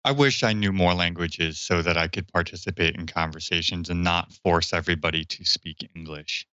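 A man with a very deep yet bright, forceful voice makes direct, thoughtful remarks in casual podcast talk. The recording is dry and dull. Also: steady tone, normal speed, smooth, no room sound. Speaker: podcast co-host. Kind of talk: casual panel chat.

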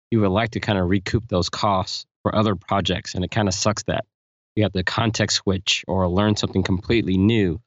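A man with a lowish voice muses reflectively, explaining his points through everyday anecdotes. The recording is dry and somewhat dull. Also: no echo. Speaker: podcast co-host. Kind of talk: casual panel chat.

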